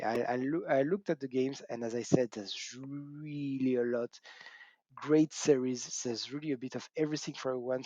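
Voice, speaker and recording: A man's voice: mid-range voice, a little mellow, dry recording. French-accented middle-aged man, dull cheap mic. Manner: chatty and self-mocking, laughs often, whoops. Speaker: gaming channel host. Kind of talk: casual talk to camera.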